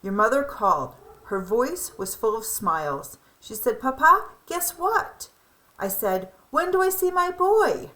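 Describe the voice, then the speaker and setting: Woman as an affectionate, animated old grandfather. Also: on the high side, a little slow, pitched above the performer's own voice, rough recording. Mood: excited. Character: affectionate, proud old grandfather telling family memories.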